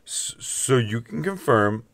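A man's voice: mid-range voice, lively intonation, slow, creaky, fairly bright tone, dry recording, full character voice. A man playing a nervous, eager rookie henchman in a comedy radio play, hesitant and curious. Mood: curious, uneasy.

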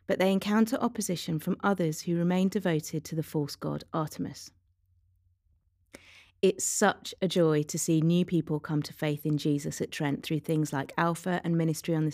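A woman with a low, mellow voice reads a scripted devotional. The sound is very dry and close, with faint music underneath. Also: dry recording. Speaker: devotional reader.

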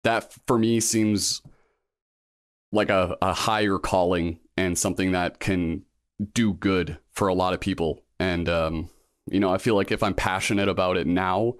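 A man's voice: sits low, steady tone, a little slow, no echo, clean studio sound. A man in his thirties, a guest in a casual interview, talking in a reflective, rambling way with many fillers and restarts. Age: 30s. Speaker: guest, law school applicant.

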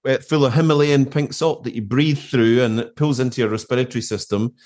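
A man's voice: mid-range voice, fairly even intonation, a little fast, darkish tone, no echo, studio quality. A middle-aged British man chatting casually as an interview guest, telling stories with emphatic repetition and colloquial asides. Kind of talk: casual conversation, anecdotal.

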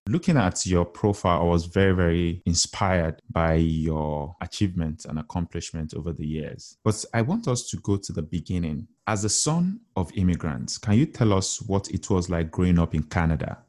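A man hosting an interview show, reading a formal guest introduction and asking questions in a measured way. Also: sits low, animated, darkish tone.

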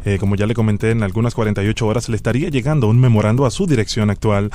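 A man's voice: fairly low pitch, fairly even intonation, very fast, mellow, a little hiss or hum, close to an everyday voice. A prank caller as a formal, grave immigration official, male. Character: stern male immigration official reading a deportation order. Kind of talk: improvised prank phone call.

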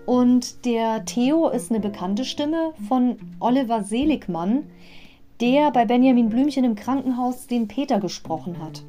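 A woman hosting a podcast, retelling an audio play in an animated, chatty way with asides. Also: on the high side, measured pace, soft background music. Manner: animated fan commentary with asides. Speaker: podcast host.

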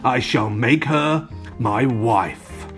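A man voicing a wicked, covetous demon king in a children's storybook, hissing and gloating slowly. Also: mid-range voice, faint music behind.

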